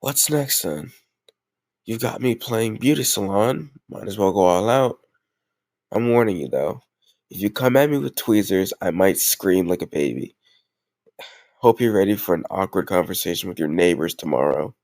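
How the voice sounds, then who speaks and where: A man's voice: low voice, expressive, normal speed, a little mellow, dry recording. A man playing a tough gang leader who is a playful, doting boyfriend in an intimate roleplay audio, teasing and mock-grumbling affectionately. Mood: playful banter.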